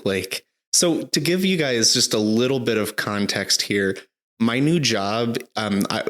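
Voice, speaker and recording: A man in his thirties co-hosting a casual podcast, talking in a rambling way with lots of fillers and a slightly hoarse throat. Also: on the low side, a bit bright. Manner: rambling, filler-heavy, restarts often. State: slightly hoarse, recovering from illness. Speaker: podcast co-host. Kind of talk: casual chat.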